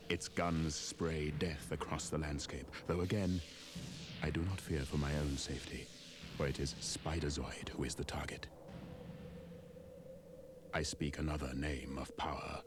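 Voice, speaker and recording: A man's voice: rumbling low, fairly even intonation, a little slow, pauses often, background noise. A man playing a solemn, world-weary namer of war machines, narrating a children's audio story in a portentous manner. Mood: grave, weary. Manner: grave, portentous. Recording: loud music behind the voice.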